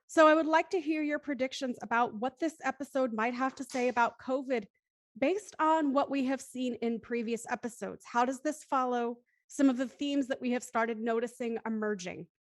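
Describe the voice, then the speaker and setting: A woman's voice: high voice, moderate pace, no room sound. A woman hosting a live streamed play reading, speaking in articulate, polite and rather formal phrases. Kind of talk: scripted recap and live show hosting.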